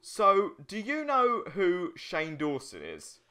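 Animated young man, bright voice. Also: sits high, slow pace, clear, resonant tone. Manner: jokey, crude humour. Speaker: let's play co-host. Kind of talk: casual gaming banter.

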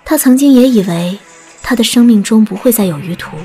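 A woman narrates a story in a scripted audiobook style. Her voice sits a little high. Soft music plays faintly behind her. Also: animated, a little fast.

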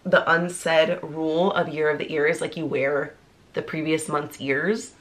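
A woman's voice: low-pitched, level tone, a little slow, fairly bright tone. A woman in her thirties chatting to camera in a casual vlog, bubbly and talkative, her voice nasal and congested from a cold. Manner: bubbly, talkative, lots of "like" fillers. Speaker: vlogger. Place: small indoor room, seated before a pink wall.

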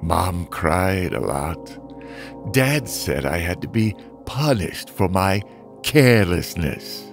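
A man playing a sinister, brooding middle-aged criminal mastermind, telling his dark memories in a deliberate, theatrical, menacing way for a motion comic. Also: low-pitched, very animated, gravelly, soft background music, exaggerated voice. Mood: brooding, morbid. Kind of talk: dramatic comic-book monologue.

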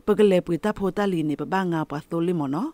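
Low-voiced woman reading radio news. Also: quick pace, warm tone, dry recording.

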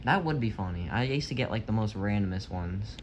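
A woman's voice: a bass voice, lively intonation, a little fast, a little hiss or hum. A young woman chatting casually and playfully, recorded on a handheld phone in a living room. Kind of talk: casual chat. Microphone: handheld phone camera.

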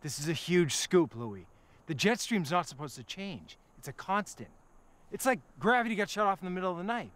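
Earnest, animated TV weatherman. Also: high voice, fairly quick. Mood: insistent. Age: 30s. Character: earnest, eager young male TV weatherman. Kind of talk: film acting, dramatic dialogue. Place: outdoors in an open field. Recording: noisy open-air film dialogue.

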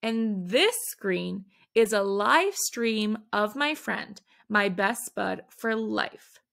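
A woman reading a children's picture book aloud, with animated, dramatic emphasis on the exclamations. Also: medium pitch, very slow, creaky. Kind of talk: expressive read-aloud storytelling.

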